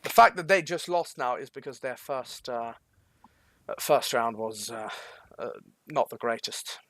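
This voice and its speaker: A man's voice: mid-range voice, expressive, measured pace, a bit bright, no echo. A man from Norway giving casual live esports commentary, trading banter with dry, teasing understatement. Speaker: esports match caster.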